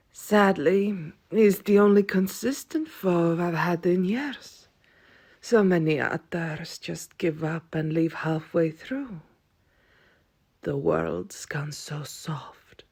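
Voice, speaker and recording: A woman playing an ancient, world-weary Viking-born vampire aunt in an audio roleplay, wry and stern, with a husky, breathy voice and archaic wording. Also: on the low side, darkish tone, heavily acted. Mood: wry amusement. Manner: slow, dry, archaic wording.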